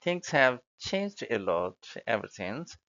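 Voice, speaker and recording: Slow, halting Indian man. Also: medium pitch, big pitch swings, vocal fry, a bit bright. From Mumbai, India. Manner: halting, hesitant. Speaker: speaking test candidate. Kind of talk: spoken exam answers. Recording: dull lo-fi audio.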